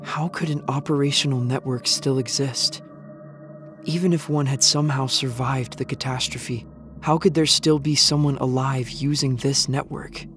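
Man as a sombre, even-toned young survivor narrating. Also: medium pitch, natural voice. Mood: brooding. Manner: measured, serious storytelling. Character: sombre young male survivor recounting his discoveries. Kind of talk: scripted first-person drama narration. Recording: music bed behind narration.

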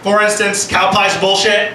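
Young man telling deadpan puns, roomy club sound. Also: high voice, unhurried, a crowd in the background. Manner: deadpan punning, sings snatches of songs. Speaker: stand-up comedian and interview guest. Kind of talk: stand-up set with puns, then casual interview. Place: small open-mic club stage, indoors. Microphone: handheld mic, filmed by a far camera. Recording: roomy far-off camera audio with background noise.

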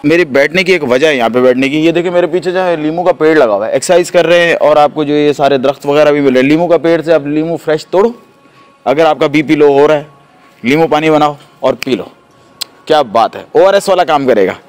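A man's voice: a little high, fairly quick, soft background music. A man, chatting enthusiastically while touring a gym at night and asking questions. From Pakistan. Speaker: vlogger reviewing a gym. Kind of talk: casual walking vlog tour. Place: outdoor and indoor gym at night. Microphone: handheld phone mic.

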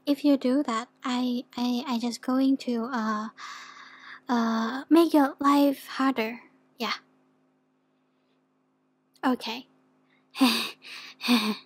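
A woman playing a shy, flustered young demon in an ASMR roleplay, with a soft, sometimes whispery voice and a hesitant, stammering manner. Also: a little high, no echo. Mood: shy, gentle.

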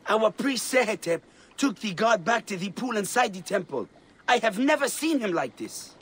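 An actor playing a devout, fervent temple guardian in an ancient Egyptian video game, breathy and emphatic. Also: medium pitch, very animated, moderate pace. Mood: distressed, bewildered.

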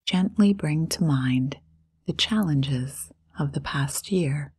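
A woman with a breathy, whispery voice leading a guided meditation in a soothing, unhurried way, in short phrases with long pauses. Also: low-pitched, a little mellow.

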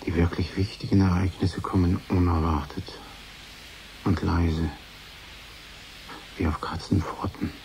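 Ein Schauspieler spielt in einem Hörspiel einen grüblerischen Künstler, der nachdenklich mit sich selbst spricht. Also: male voice, sits low, level tone, a little slow, long pauses, a little mellow, slight background noise, poor audio. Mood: pensive. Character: brooding male artist musing alone to himself. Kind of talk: introspective radio drama monologue.

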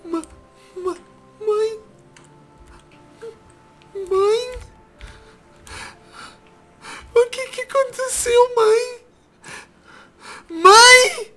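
A woman acts a frightened young boy in an amateur drama. The voice is very high-pitched and sharp, anxious and pleading, crying out and repeating words in desperate devotion to a mother. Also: normal speed, soft background music.

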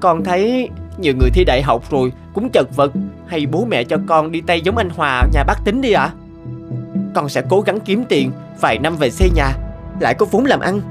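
A high, lively teenage boy's voice acted by a man from a cartoon drama. He is naive, stubborn and earnest, with music underneath. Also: brisk, lightly acted. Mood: pleading, insistent. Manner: earnest, insistent. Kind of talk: animated family drama dubbing. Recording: music behind the voice.

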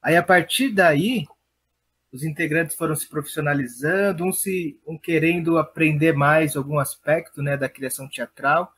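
A man with a São Paulo accent gives reflective interview answers. His voice sits a bit high and has a husky, unclear grain. He feels his way through sentences, hesitating and tagging phrases with small fillers. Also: steady tone, moderate pace, a bit bright. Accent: São Paulo Brazilian. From Perus, São Paulo, Brazil. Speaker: interviewed playwright and theatre director.

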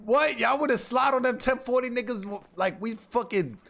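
A man's voice: very high-pitched, moderate pace, gravelly, a bit bright. A young man bantering casually in character during an online roleplay game, slangy and profane, repeating his phrases.

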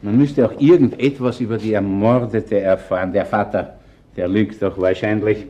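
An actor playing a gruff, shrewd middle-aged Viennese chief inspector in a radio crime drama, speaking bluntly and colloquially. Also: male voice, on the low side, steady tone, moderate pace, mellow, highs cut off, natural voice. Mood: brisk, commanding. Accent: Viennese Austrian. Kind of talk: German-language radio crime drama acting.

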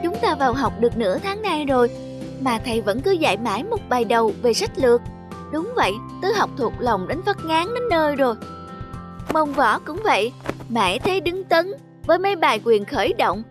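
A woman's voice: high-pitched, sing-song, moderate pace, over music. A woman voicing a restless young schoolboy in a cartoon folktale, childlike and complaining. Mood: bored, grumbling.

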